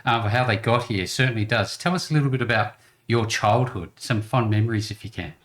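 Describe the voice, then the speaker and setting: A man's voice: on the low side, a bit bright. A man hosting an interview show in a casual, chatty, conversational way. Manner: chatty, quick to laugh.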